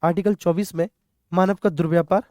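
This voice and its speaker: A man's voice: high-pitched, fairly even intonation, a little fast, darkish tone, no room sound, clean studio sound. A man teaching an exam prep lesson, addressing students directly, repeating key points and mixing in English terms. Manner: repetitive, instructive, addressing students directly with check-in phrases. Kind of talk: question-and-answer exam lesson.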